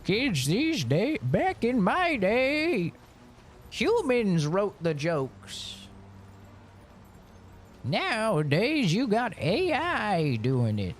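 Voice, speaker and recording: A man putting on a slow, squeaky old grump. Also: huge pitch swings, a little hiss or hum, heavily acted. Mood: grumbling. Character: grumbling old man complaining about kids.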